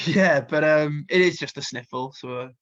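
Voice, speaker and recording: A Welsh man with a slight cold talks in a loose, rambling way, full of ums and asides. His voice sits on the higher side for a man. The sound is dull and muffled, like lo-fi call audio. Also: measured pace. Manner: chatty, rambling with many fillers. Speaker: festival co-founder and music booker. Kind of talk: casual interview chat.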